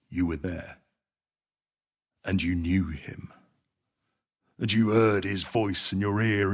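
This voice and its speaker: An actor playing a wary former tramp turned publican in an audio drama, husky-voiced, with a folksy, deferential manner. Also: male voice, fairly low pitch.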